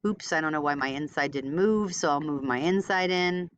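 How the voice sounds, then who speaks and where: A woman teaching a step-by-step software tutorial informally, thinking aloud as she demonstrates. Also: sits low, moderate pace, muffled recording, a little hiss or hum. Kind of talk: casual screen-recorded step-by-step tutorial.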